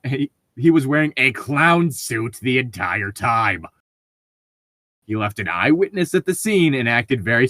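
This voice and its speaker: A man's voice: medium pitch, a bit bright, no echo. A man reading a tabletop gaming story aloud as a co-host, informal and amused.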